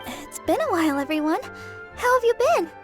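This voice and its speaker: A woman voicing a sweet, eager teenage girl in a video game, gentle and reassuring. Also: very high-pitched, expressive, a little fast, music in the background. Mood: gentle concern.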